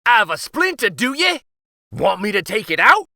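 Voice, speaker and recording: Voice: mid-range voice, sing-song, normal speed, vocal fry, sharp tone, no room sound, studio quality, voice pushed up, full character voice. A man voicing a cheeky, eager little old man in a storybook, with folksy, teasing questions.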